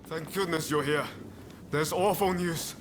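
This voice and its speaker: A man's voice: a little high, steady tone, unhurried, noisy background, lightly acted. An actor playing an earnest, urgent male rebel comrade in a video game, directive and concerned. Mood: alarmed. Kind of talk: video game cutscene acting.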